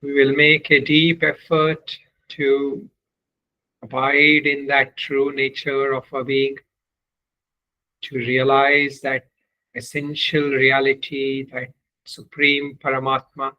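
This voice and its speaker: A man leading a guided meditation as a teacher, speaking in measured, deliberate phrases with long pauses between them. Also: medium pitch, completely flat delivery, muffled recording.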